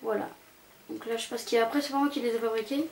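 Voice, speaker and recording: A woman's voice: on the high side, fairly even intonation, rapid-fire, a little mellow, low-quality recording. A young woman giving a casual, rambling, hesitant commentary as she tours a video game. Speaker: gaming channel host. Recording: amateur home recording with background noise.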